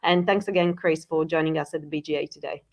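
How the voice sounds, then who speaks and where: A woman's voice: sits low, fairly even intonation, quick pace, darkish tone. A woman hosting a virtual presentation on a video call, polite and welcoming, with a few ums. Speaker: event host.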